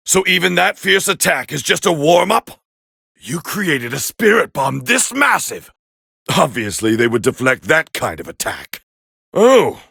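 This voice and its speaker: A man voicing a proud, scornful alien warrior as a fighting game commentator, gravelly and haughty in an English anime dub style. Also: fairly high pitch, no echo, studio quality, full character voice. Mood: shocked, indignant. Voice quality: gravelly, raspy. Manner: haughty, forceful, clipped exclamations.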